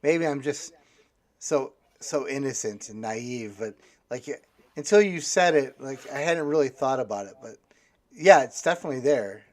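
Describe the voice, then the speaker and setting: A man's voice: medium pitch, animated, moderate pace. A man, an author, talking in a casual discussion, hesitant and thinking aloud as he goes.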